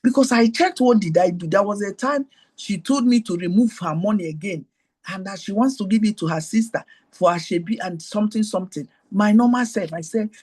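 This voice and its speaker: A Nigerian woman hosts her show on an online group call and teaches in a high voice with a breathy, husky edge. Her pitch stays in a middle range for a woman. She drifts into personal stories and keeps repeating her key points to the people listening. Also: a little fast, a little mellow. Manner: digressive, repeats key phrases, talks straight to listeners. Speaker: host.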